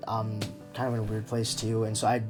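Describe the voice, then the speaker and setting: A man's voice: fairly low pitch, completely flat delivery, fairly quick, over music. Hesitant young man opening up. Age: young adult. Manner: hesitant, searching for words. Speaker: interview subject. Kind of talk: reflective, candid personal interview.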